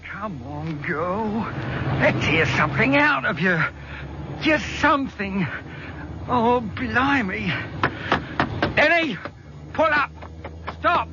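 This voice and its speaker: An actor in a vintage radio drama playing an anxious, rough working-class crook who talks colloquially. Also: male voice, high-pitched, big pitch swings, slow pace, pauses often, heavily acted. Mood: coaxing, uneasy. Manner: colloquial, panicky, pleading. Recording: muffled, noisy vintage radio recording.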